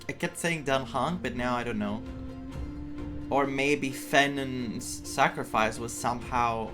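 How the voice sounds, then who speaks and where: A man giving casual playthrough commentary, thinking aloud with joking asides. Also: mid-range voice. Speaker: gaming content creator. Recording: game music behind voice.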